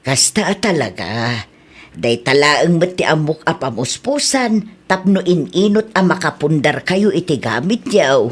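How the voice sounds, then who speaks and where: A woman's voice: low voice, big pitch swings, unhurried, husky, exaggerated voice. A woman playing a patient Ilocano mother. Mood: advising. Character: caring middle-aged mother, gently advising. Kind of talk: audio drama acting.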